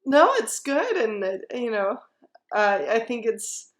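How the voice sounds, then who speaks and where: A woman's voice: on the high side, sing-song, slow. A woman, a voice actor being interviewed, chatting casually. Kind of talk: casual chat.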